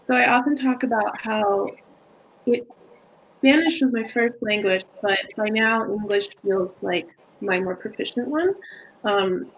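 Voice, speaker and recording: A woman's voice: medium pitch, creaky, poor audio. A woman author on a video call, eager and chatty with frequent fillers. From United States, from age four.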